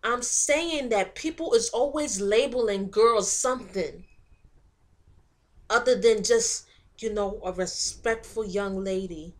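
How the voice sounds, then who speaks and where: Woman acting a high-voiced, principled young woman. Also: slow. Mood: calm, firm. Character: picked-on, principled young woman standing her ground.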